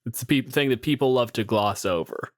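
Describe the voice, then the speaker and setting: A man hosting an analytical podcast discussion, explaining things in measured, emphatic phrases. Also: mid-range voice, no room sound, studio quality. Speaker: podcast host.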